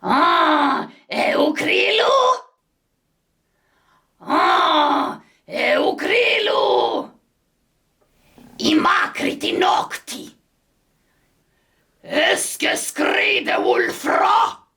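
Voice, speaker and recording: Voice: extremely high pitch, big pitch swings, extremely slow, vocal fry, long pauses, bright, forceful tone, faint music behind, exaggerated voice. A metal vocalist voicing a ferocious alien creature, screaming invented words in a harsh, strained voice.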